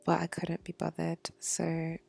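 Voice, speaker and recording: Young woman host, gravelly dark voice, dry close sound, offhand outro. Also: low voice, completely flat delivery, unhurried, no echo, faint music behind. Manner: friendly, offhand sign-off. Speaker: podcast host. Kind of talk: solo outro to listeners.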